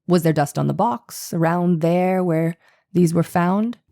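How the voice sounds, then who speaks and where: Woman as a mellow, low-voiced probing defense attorney. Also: unhurried, vocal fry. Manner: probing, pointed questioning. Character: persistent, skeptical male defense attorney cross-examining a witness. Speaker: actor reading a defense attorney's part. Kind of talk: dramatized courtroom transcript reading.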